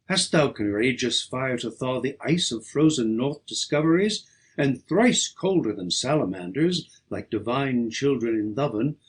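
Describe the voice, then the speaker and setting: A man recites verse for an audiobook at a slow, deliberate pace. His voice is husky and swings widely in pitch as he declaims, leaning firmly on each phrase. The delivery is dramatic and measured. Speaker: audiobook reader.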